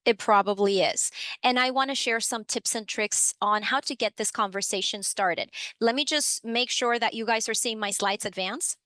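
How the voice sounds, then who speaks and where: Upbeat woman from the Dominican Republic hosting a training webinar; fairly high voice, dry computer-mic sound. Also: pushed and bright, no room sound. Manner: friendly, upbeat, with frequent fillers. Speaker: webinar presenter, program supervisor. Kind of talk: educational webinar presentation.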